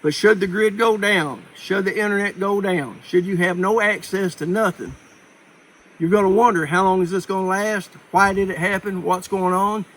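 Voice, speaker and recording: Folksy man with a higher-pitched voice. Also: moderate pace, a bit bright, rough recording. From Appalachia, United States. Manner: folksy, plain-spoken, rambling. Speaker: preparedness vlogger. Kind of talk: informal instructional talk to viewers.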